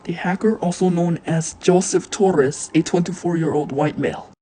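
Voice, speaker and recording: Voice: mid-range voice, normal speed, mellow, a little hiss or hum. An announcer delivering scripted warnings for a mock emergency alert broadcast.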